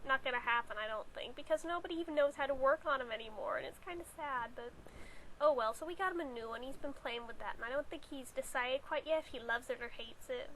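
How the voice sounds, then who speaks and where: Stuffy, hoarse young woman vlogger. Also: high voice, fairly bright tone, a little hiss or hum, low-quality recording. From California, USA. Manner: chatty, rambling, wry asides. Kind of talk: casual chat to camera. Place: bedroom.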